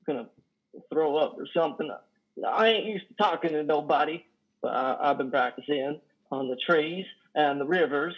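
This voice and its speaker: Man playing a high-pitched, halting lonely trucker. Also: lightly acted. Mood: shy, rambling. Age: 30s. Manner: halting, stammering, nervous. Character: lonely, awkward, self-deprecating trucker, plain-spoken and folksy. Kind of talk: play reading over video call. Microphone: headset on a video call. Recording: dull video-call audio.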